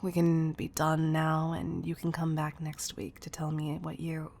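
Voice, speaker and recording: Casting: actress as a doting, protective female boss for intimate audio drama; low, gravelly, tough but tender. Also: monotone, darkish tone, heavily acted. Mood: tender, solemn protectiveness. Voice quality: husky, breathy, often near whisper. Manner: intimate, deliberate delivery with pet names. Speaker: audio roleplay voice performer. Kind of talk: intimate scripted audio roleplay acting.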